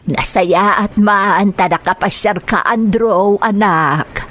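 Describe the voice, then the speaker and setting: A woman's voice: mid-range voice, slow pace, a bit bright. Plaintive, caring elderly mother. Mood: fond, weary. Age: older. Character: elderly mother and grandmother, caring, with aching knees. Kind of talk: radio drama acting.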